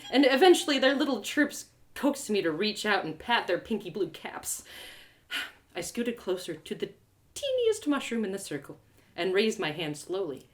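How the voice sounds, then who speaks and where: A woman reading a first-person story aloud with expressive, playful, dramatic delivery. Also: mid-range voice. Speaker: author reading a story live.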